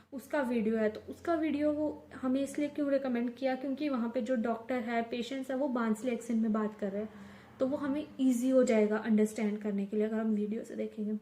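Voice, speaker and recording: A woman's voice: on the high side, dark tone. A young woman from India giving chatty, casual advice in a vlog, mixing in English words and often restarting her sentences. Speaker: vlogger. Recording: echoey room.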